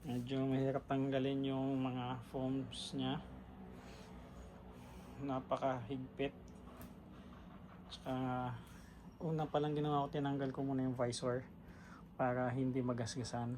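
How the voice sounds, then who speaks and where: Male vlogger, casual and chatty, works while talking, flat delivery, noisy recording. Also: mid-range voice, measured pace, pauses often. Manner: chatty, narrates while working with his hands; relaxed, mixes English into his talk. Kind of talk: casual how-to vlog. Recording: clear background noise.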